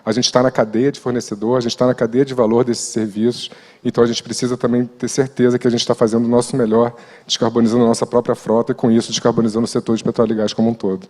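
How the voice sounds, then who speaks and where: A man speaks on a conference panel in a steady, explanatory tone, his pitch staying quite level. His voice is mid-pitched. A long hall reverb trails his words. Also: quick pace. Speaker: company director panelist. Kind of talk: panel presentation.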